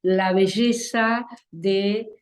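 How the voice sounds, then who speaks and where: A woman from Argentina with an Argentine accent, an actress and theater director speaking as a guest in a reflective interview, measured and thoughtful with pauses. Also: mid-range voice, fairly even intonation. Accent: Argentine Spanish.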